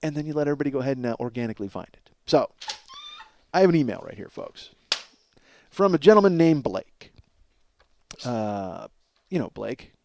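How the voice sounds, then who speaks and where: A man in his forties hosting a comedy podcast, rambling in an animated, profane monologue and often cutting himself off. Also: mid-range voice, fairly quick, creaky, long pauses. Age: 40s. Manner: animated, emphatic, self-interrupting riffing.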